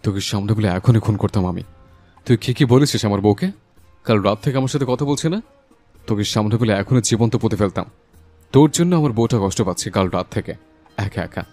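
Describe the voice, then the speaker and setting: A man's voice: lowish voice, a little fast, darkish tone. A man playing a passionate, protective young husband in a dramatised romantic audio story, speaking with emphatic feeling. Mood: furious, scolding. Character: hot-tempered young husband, fiercely protective, tender with his wife. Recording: voice over a music bed.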